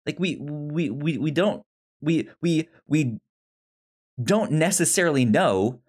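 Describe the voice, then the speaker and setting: A man co-hosting a film podcast in casual discussion, halting and stuttering, often repeating sounds and words. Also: on the high side, lively intonation, darkish tone, husky. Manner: halting, hesitant delivery.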